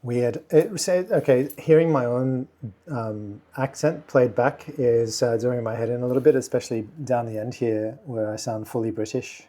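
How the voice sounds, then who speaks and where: A man hosting a casual software tutorial, speaking quietly and thinking aloud with many fillers as he works. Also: mid-range voice, moderate pace. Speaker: video tutorial host. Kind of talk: casual screen-recording tutorial.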